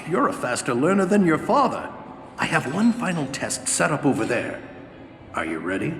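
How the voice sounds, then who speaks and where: An actor playing a seasoned old alien general in a video game, a gruff, commanding mentor who instructs theatrically. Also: male voice, fairly high pitch, very animated, normal speed, a bit bright. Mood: instructing. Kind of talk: video game cutscene acting.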